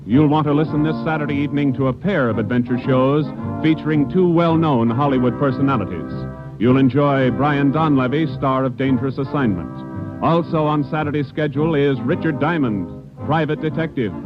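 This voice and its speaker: A man announces in formal, measured 1940s American radio style. The voice sits at a medium pitch. Music plays behind it on a dull, dated recording.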